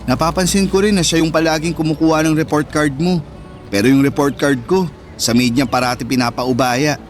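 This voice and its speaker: A man's voice: on the high side, steady tone, fairly quick, close to an everyday voice. A man with a Filipino accent playing a sensitive, neglected older brother in an earnest, emotive radio drama style. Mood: hurt, resentful. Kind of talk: Tagalog radio drama acting. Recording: music under the voice.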